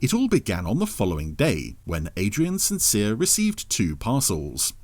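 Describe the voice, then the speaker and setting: A man's voice: lowish voice, huge pitch swings, moderate pace, darkish tone, no echo. A man narrating a scripted audio story in a dramatic storytelling style.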